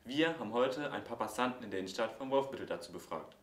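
A young male presenter rattles through his lines at a quick clip. His voice sits at an ordinary middle pitch. Faint background hum from the street outdoors. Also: lively intonation, reverberant. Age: young adult. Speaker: news presenter and street reporter. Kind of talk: youth news show, street interviews. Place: studio desk, then town square outdoors. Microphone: handheld reporter mic outdoors.

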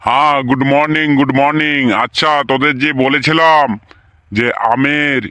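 An effusive cartoon schoolmaster, acted by a man, with a fairly high, bright voice in a dry recording. He is easily impressed and repeats himself emphatically, in village comedy style. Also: little pitch movement, normal speed, clean tone, no room sound. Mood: instructing. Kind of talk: comic cartoon skit dialogue.

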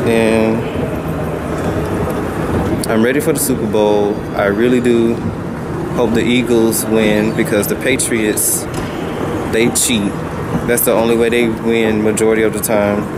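A young man vlogging from a mall and then a car, talking slowly and rambling about food and football with lots of ums. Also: fairly low pitch, very noisy. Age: young adult. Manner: slow, rambling and opinionated, with frequent ums. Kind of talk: casual vlog monologue. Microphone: handheld phone camera at arm's length.

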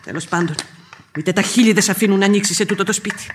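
An actress playing an outspoken, superstitious housekeeper who complains bluntly in a radio play. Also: female voice, mid-range voice, background noise, lightly acted. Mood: grumbling. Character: outspoken, grumbling, superstitious female housekeeper and nanny. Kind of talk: radio theatre acting. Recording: radio drama with faint music behind.

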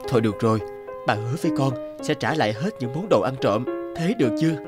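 A shifty young cartoon dad voiced by a man, a petty thief who is fond of his child. His voice sits at a middling pitch, with music playing beneath it. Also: animated, quick pace, natural voice. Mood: coaxing. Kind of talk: cartoon voice acting. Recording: music bed behind the voice.

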